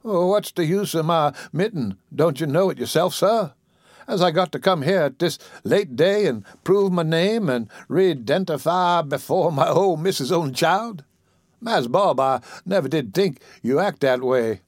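A bossy, motherly old Southern woman scolds in a high, drawn-out Southern drawl, as a male narrator puts her on. Her words stretch out slowly and rise high above an ordinary man's voice. The sound is dry and close to the mic. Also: lively intonation, no echo. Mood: scolding, motherly. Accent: American Southern. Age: older. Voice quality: high put-on voice, drawn-out dialect speech.

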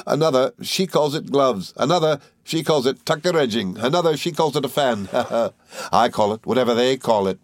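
A man reading a story aloud, voicing a tiny, sly woman who chatters rapidly and giggles. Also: mid-range voice, lively intonation, no room sound, voice pushed up, natural voice. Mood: gossipy.

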